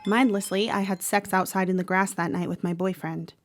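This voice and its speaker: A woman playing a troubled, protective teenage girl who narrates a horror audio drama in a measured, dramatic way. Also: medium pitch, fairly even intonation, music in the background, close to an everyday voice. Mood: brooding, uneasy. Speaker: actor narrating. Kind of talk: first-person horror audio drama monologue.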